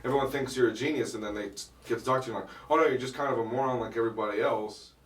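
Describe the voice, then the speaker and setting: A man's voice: medium pitch, very fast, recorded from a distance. A young man from Miami, a comedian guest, chatting casually in an interview and telling stories with dry, self-deprecating humor. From Miami, United States. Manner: laid-back storytelling with dry self-deprecating humor and frequent laughs. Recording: echoey room, voice from off-mic.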